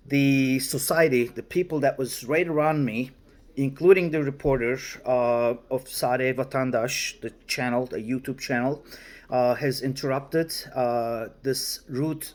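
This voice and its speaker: Turkish-accented man in his forties. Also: mid-range voice. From Turkey. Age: 40s. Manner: formal, deliberate, searching for words. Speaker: vlogger. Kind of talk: direct-to-camera response monologue.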